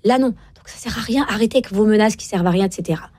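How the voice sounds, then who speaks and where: A woman in her forties speaks quickly as an interview guest, her words tumbling out with emphatic tag words. The sound is dry and close, with no room around her. She talks about her own experience in a relaxed, chatty way. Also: medium pitch, dry recording. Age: 40s. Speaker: parenting author and guest. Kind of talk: casual interview chat.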